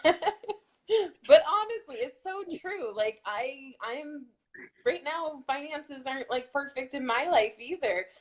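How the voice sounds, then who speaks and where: A woman's voice: high-pitched, expressive, slow. A woman co-hosting a fitness challenge group, talking earnestly in a casual pep-talk manner with lots of "like". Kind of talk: casual motivational chat.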